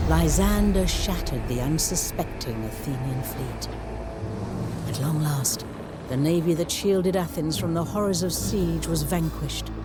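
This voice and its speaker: A man playing a wry, weary storyteller who narrates ancient wars in a dramatic, measured way for a game cutscene. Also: fairly high pitch. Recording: music behind the voice.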